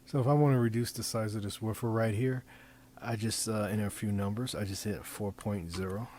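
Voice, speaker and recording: A man talking through an informal software demonstration, improvising in a halting way as he thinks aloud and repeats phrases. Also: lowish voice, steady tone, a little hiss or hum. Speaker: presenter demonstrating design software. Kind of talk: informal screen-recording tutorial, improvised.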